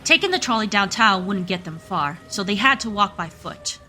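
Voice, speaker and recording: A woman's voice: medium pitch, animated, normal speed, pushed and bright, faint music behind. A woman narrator reading scripted storytelling narration for a radio play.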